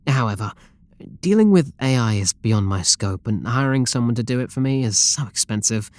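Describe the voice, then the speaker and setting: A man's voice: medium pitch, animated, moderate pace, no echo, natural voice. A man with a British accent playing an obsessive, possessive, lovestruck game developer in an intimate roleplay audio drama, doting and controlling. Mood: fervent, confiding. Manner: doting, intimate, pleading endearments.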